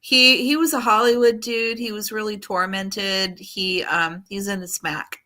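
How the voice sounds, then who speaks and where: Bright-voiced woman explaining things casually. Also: medium pitch, steady tone, measured pace. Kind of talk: casual chat.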